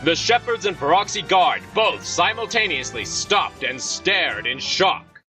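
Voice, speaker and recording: A man reading scripted audio drama narration in a dramatic, expressive storytelling manner. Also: high-pitched, unhurried, recorded from a distance. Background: music.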